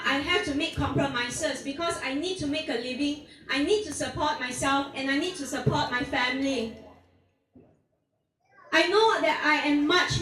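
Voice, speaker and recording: A woman in her thirties from Singapore giving a speech at an outdoor protest rally, earnest and measured, asking rhetorical questions. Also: high-pitched, a bit bright. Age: 30s. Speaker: protest speaker. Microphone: PA microphone recorded from the audience. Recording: distant echoey outdoor PA recording.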